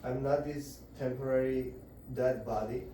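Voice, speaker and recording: A man giving a devotional scripture lecture to a group indoors, halting and searching for words as he explains. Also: medium pitch, fairly even intonation, dark tone, a little hiss or hum. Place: indoor gathering room. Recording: very echoey room, voice far off.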